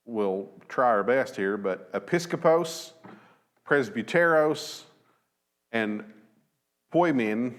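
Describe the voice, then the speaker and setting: A man in his thirties teaching a Bible lesson from a church lectern, measured and thoughtful, with frequent fillers and restarts. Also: medium pitch. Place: church auditorium at a lectern, slides behind.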